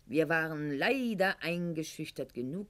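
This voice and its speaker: An actress playing a proud, wounded, sharp-tongued aristocratic lady of the Weimar court in a radio play monologue, articulate and declamatory, with dramatic pauses. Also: sits low, animated. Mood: haughty indignation. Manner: haughty, articulate, declamatory, with dramatic pauses and hushed line endings.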